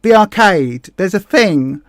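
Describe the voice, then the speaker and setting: A woman's voice: mid-range voice, lively intonation, takes it slowly, no echo, studio quality. A woman with a Southeast English accent hosting a casual livestream, chatting in a rambling way and correcting herself as she reads viewer comments. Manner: rambling, self-correcting, many fillers. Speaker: livestream host.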